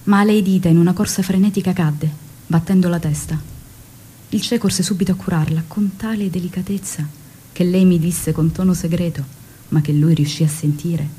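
An actress playing a tender, grieving widow of a revolutionary, recalling the past in an intimate, measured stage monologue. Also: female voice, fairly low pitch, steady tone, dark tone, breathy edge. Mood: tender recollection. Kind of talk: theatrical dramatic monologue. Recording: music behind the voice.

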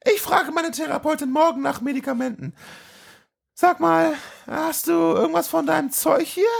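A man voicing a troubled, sleepless young woman in an amateur radio play of film dialogue, in an exaggerated falsetto. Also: moderate pace, creaky, exaggerated voice. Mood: earnest, pleading.

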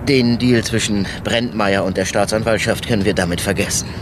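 An actor playing a wry, plain-spoken police inspector in a radio crime drama. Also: male voice, lowish voice, fairly even intonation, brisk, close to an everyday voice. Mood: sardonic. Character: male police inspector, wry, argumentative, protective of his team. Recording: clear outdoor and vehicle noise.

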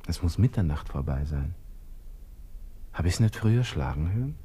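A despairing young Austrian lieutenant in a radio play. His low voice is slow and mellow. He questions himself haltingly, brooding alone. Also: husky, heavily acted. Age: 20s. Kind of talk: radio drama interior monologue.

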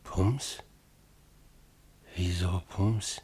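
A man playing a weary, naive ex-convict who speaks haltingly in a subdued, husky voice in radio drama dialogue. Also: sits low, steady tone, a little mellow, exaggerated voice. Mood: puzzled.

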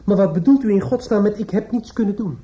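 A man's voice: high voice, fast talker, warm tone, natural voice. An actor in a radio play playing a bitter, grieving younger man, formally polite but pointed and reproachful. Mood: indignant. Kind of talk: Dutch radio play acting. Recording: dull old radio recording.

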